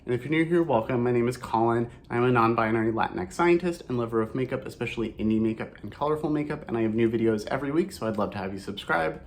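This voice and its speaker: A man with a hoarse voice, recovering from a cold, chatting casually through a makeup review vlog and often correcting himself mid-sentence. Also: medium pitch, fast, few pauses, a little hiss or hum. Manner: chatty and rambling, with frequent self-corrections.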